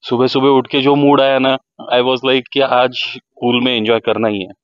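A man's voice: mid-range voice, steady tone, moderate pace, dry recording, highs cut off. A man in his thirties with an Indian accent, chatting casually to his camera outdoors at a resort. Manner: chatty, upbeat, talks straight to camera. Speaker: motovlogger host. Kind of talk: casual vlog chat mixing Hindi and English. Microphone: selfie camera at arm's length.

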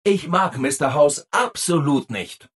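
A man's voice: a little high, animated, measured pace, a bit bright, husky, heavily acted. A man voicing a relentlessly cheerful, eager-to-please robot assistant in a video game, with chirpy, over-eager, sing-song delivery. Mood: upbeat, helpful. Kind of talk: video game character dialogue.

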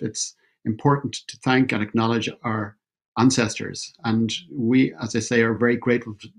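A man, opening an online event over a video call, measured and halting with fillers. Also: mid-range voice, creaky. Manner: measured, with many fillers and restarts. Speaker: event host. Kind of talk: formal opening speech. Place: indoor room at home. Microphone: video call webcam.

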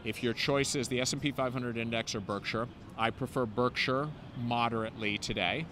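A man in his fifties gives a street interview about investing, talking into a handheld mic. He sounds fluent and sure of himself, with frequent "um"s, and his voice sits in a middle register. Traffic noise from the city street comes through clearly. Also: mid-range voice, fairly even intonation, moderate pace. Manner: fluent and confident, many "um" fillers. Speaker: investment newsletter founder, interview guest. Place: city sidewalk outdoors, traffic passing.

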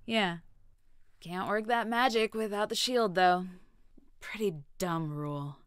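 A woman playing a big, gruff, hungover cat-beast warrior in an audio drama, blunt and grumbling. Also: medium pitch, takes it slowly, fairly bright tone, no echo, studio quality. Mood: groaning, irritable.

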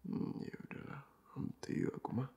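A young man in a boyfriend roleplay, talking softly and coaxingly in a half-whisper, dropping English phrases into his speech. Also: normal speed.

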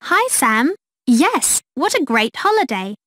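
A woman voicing a young woman back from holiday, explaining customs in a bright way. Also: high-pitched, very animated, takes it slowly, no room sound, studio quality, heavily acted. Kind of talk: scripted textbook dialogue.

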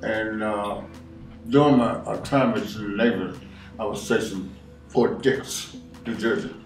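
A man's voice: on the low side, steady tone, a little slow, recorded from a distance. A sixty-nine-year-old man sits on a living-room couch answering questions, speaking plainly and coming back to the same point again and again. His voice sits off the mic and rings in the room, with faint music under it. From Washington, D.C. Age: 60s. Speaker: interviewee. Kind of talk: documentary interview. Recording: roomy sound from off-mic.

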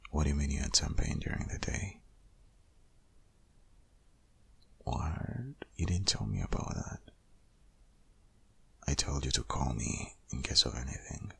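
A man playing a protective, worried boyfriend in an intimate ASMR audio roleplay, speaking insistently in a husky, half-whispered voice. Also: animated, normal speed, sharp tone, full character voice. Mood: alarmed, worried. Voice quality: very deep, gravelly, husky, often half-whispered.

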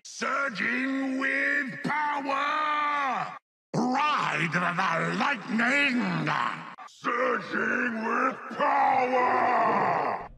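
A man's voice: very high-pitched, extremely slow, long pauses, very noisy, full character voice. An actor playing a hot-blooded male video game champion, bellowing theatrical battle cries. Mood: triumphant, fierce. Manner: theatrical shouting. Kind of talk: video game ultimate voice lines.

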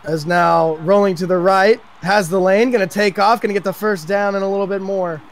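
A man giving play-by-play commentary on an online game match, calling the action quickly and excitedly. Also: high-pitched. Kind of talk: sports commentary.

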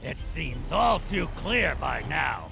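Game villain casting: ancient cyborg warlord, heavily creaking gravel, menacing, animated, music behind. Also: male voice, fairly high pitch, takes it slowly, exaggerated voice. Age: older. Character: ancient, menacing cyborg supreme military commander. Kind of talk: villain acting in a video game.

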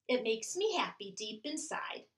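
A woman voicing a gentle, apologetic male bear in a picture book, in a sweet, sing-song rhyming manner. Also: fairly high pitch, big pitch swings, unhurried, reverberant, far from the mic, voice pushed down. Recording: voice a bit off-mic.

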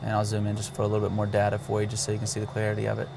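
A man with a low, gravelly voice walks through a cockpit avionics demo in a relaxed, matter-of-fact way. He speaks in a flat, even tone over faint background noise. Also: racing. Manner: walks through steps plainly, with fillers. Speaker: avionics demonstrator. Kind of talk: casual product demonstration.

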